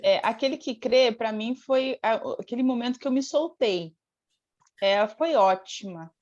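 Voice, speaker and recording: A Brazilian woman with a fairly high voice talks casually as a guest. She tells her stories in an animated, informal way. The sound is a little dull and lo-fi. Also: a little slow. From Brazil, born in Rio de Janeiro state, raised in Paraná from age eight. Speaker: singer, interview guest. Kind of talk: casual chat, storytelling.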